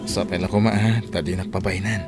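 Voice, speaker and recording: A Filipino actor playing an earnest young suitor courting a barmaid in a radio drama. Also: male voice, low-pitched, steady tone, normal speed. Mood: earnest, pleading. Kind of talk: Ilocano radio drama acting. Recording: radio drama with loud music.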